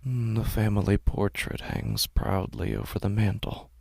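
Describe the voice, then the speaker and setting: A man giving scripted first-person horror narration in a measured, hushed, uneasy way. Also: lowish voice, steady tone, vocal fry, dry recording, clean studio sound. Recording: noisy ambient sound bed.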